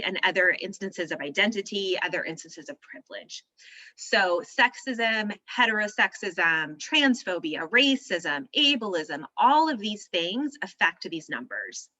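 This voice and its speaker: Bright-voiced woman, slightly hoarse trainer. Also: mid-range voice, dry recording, muffled recording. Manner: explanatory, clears throat now and then. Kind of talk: virtual training presentation. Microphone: video call.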